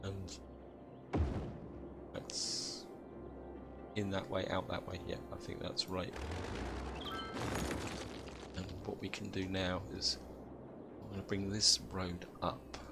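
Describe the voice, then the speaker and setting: A British man with a croaky voice from a chest cold, chatting casually as he thinks aloud through a city-building game. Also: low voice, completely flat delivery, slow pace, long pauses, heavy background noise. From Britain. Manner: thinks aloud, chatty, addresses viewers as guys. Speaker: gameplay commentator.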